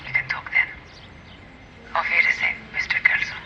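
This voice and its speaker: A woman's voice: fairly quick, sharp tone, a little hiss or hum. An actress voicing a guarded, businesslike German woman in a period noir video game, with a German accent. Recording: dull sound with faint music.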